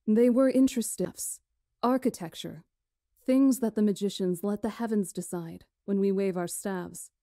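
A woman playing a poised, commanding witch who tells history in a formal, deliberate way for a visual novel. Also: mid-range voice, warm tone, dry recording, clean studio sound, natural voice. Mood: solemn storytelling. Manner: formal, deliberate, imperious storytelling. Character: poised, commanding witch storyteller lecturing a council.

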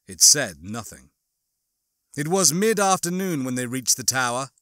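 A man's voice: mid-range voice, a bit bright, no room sound, studio quality. A man narrating and hosting an audiobook, reading in a storytelling style. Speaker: audiobook narrator and channel host. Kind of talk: scripted dramatic narration with casual asides.

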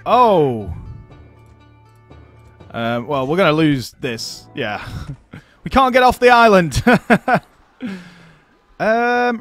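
A man giving casual let's-play commentary on a strategy game, chatting, laughing often and talking to his units as friends. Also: fairly high pitch. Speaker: gaming YouTuber.

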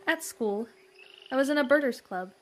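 A woman's voice: high voice, lively intonation, normal speed, a little hiss or hum. An actress playing a refined, bookish, earnestly enthusiastic young lady in a western audio drama, with formal, florid diction. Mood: composed, dreamy.

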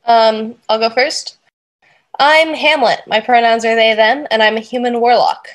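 A woman's voice: a little high, steady tone, unhurried. A woman talking casually as a player on a role-playing game podcast. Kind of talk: casual introduction.

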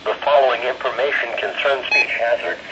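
A synthetic male voice with an American accent, reading formal emergency weather bulletins in a measured, impersonal way through a weather radio speaker. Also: medium pitch, fairly even intonation. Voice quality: synthetic text-to-speech voice. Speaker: automated weather radio announcer. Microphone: camera pointed at a small radio speaker. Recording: muffled, noisy radio speaker recorded in a room.

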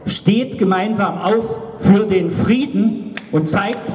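A man in his fifties speaks into a handheld microphone at a street rally, his voice raised high. He declaims his political speech with emphasis, and it rings out over a loudspeaker across an open square, picked up from far off with long reverb. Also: slow pace. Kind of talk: political rally speech, partly read from notes. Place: outdoors on a cobbled town square at night. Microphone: handheld microphone through loudspeaker, recorded by a far camera. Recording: echoey outdoor PA, far from camera.

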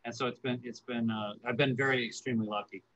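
A man's voice: medium pitch, fairly even intonation, quick pace, a bit bright, dull audio. A man, a paleoartist guest on a video call from his workshop, speaking hesitantly and repeating words. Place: workshop studio. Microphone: video call webcam.

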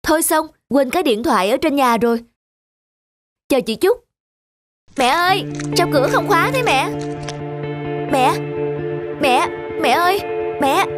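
A busy, popular young woman in a cartoon drama. She talks in a high, breezy, casual voice with a hurried manner, over clear music. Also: lively intonation. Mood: concerned. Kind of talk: animated drama dubbing. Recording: music under the voice.

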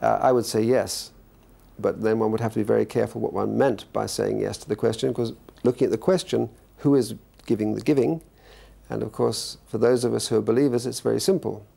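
Older man, deep gravelly husky voice. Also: steady tone, brisk, warm tone. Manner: thoughtful, searching for words. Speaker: professor interviewed about a cathedral. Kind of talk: reflective interview answer. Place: indoor study lined with bookshelves.

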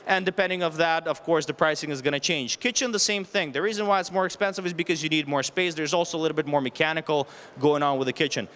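Guy in his 30s giving a talk on a mic, pretty high voice, smooth and clear, explains stuff with lots of questions. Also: quick pace, a bit bright. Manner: explanatory, poses rhetorical questions and lists options. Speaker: renovation contractor giving a presentation. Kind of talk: public presentation with practical tips. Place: stage at an indoor home show. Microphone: handheld microphone held near the chin.

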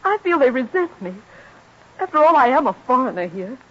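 A young American opera star in an old radio drama. Her voice is very high and sweeps up and down, earnest and theatrical, through muffled sound. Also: very animated, a little fast, fairly bright tone, slightly rough, exaggerated voice. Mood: frightened. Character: young American prima donna, earnest, emotional, proud.

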